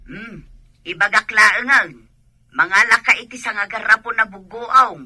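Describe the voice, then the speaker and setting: A woman as a sharp-voiced, chatty village neighbour. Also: fairly high pitch, unhurried, breathy edge, dry recording, full character voice. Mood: chatty, sighing. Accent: Ilocano. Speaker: actress in comedy drama. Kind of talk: Ilocano comedy drama acting.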